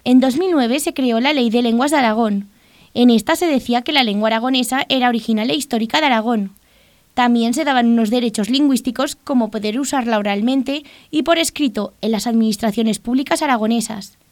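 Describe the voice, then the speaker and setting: A woman's voice: fairly high pitch, brisk, no room sound. A woman with an accent from Spain reading scripted narration for a radio news report. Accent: Spanish from Spain.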